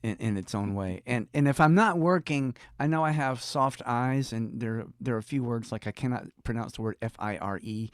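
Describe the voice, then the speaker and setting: A man's voice: medium pitch, normal speed, few pauses, smooth, dry recording, clean studio sound. An older man from Georgia with a Southern US accent, telling anecdotes casually as an interview guest, starting and stopping and acting out bits of dialogue. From Deep South, Georgia, United States. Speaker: professional storyteller, guest.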